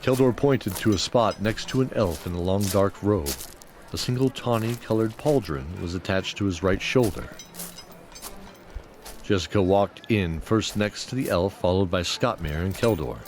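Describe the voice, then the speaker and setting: A man's voice: sits low, moderate pace, a little hiss or hum. A man reading scripted fantasy storytelling narration for an audio drama. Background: music.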